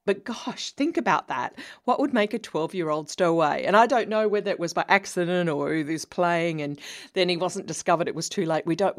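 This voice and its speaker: An Australian woman in her fifties hosting her own podcast, talking in a chatty, conversational way as if over coffee. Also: fairly low pitch. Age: 50s. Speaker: podcast host. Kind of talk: casual solo podcast monologue.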